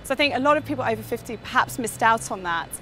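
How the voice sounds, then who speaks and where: A woman's voice: on the high side, animated, fast talker, fairly bright tone, slight background noise. A woman interviewed as an industry expert, explaining thoughtfully.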